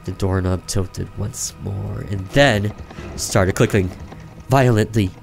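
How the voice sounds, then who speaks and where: A man reading a horror visual novel aloud in a slow, theatrical, suspenseful narration. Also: lowish voice, gravelly, faint music behind. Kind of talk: dramatic narration of a horror story.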